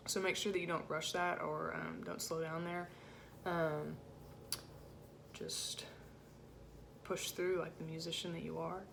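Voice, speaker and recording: A woman with a low-set voice giving an informal music rehearsal lesson as a choir section leader, in a casual, encouraging way with hesitant phrasing. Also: little pitch movement, measured pace, gravelly, background noise. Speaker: choir section leader teaching alto parts.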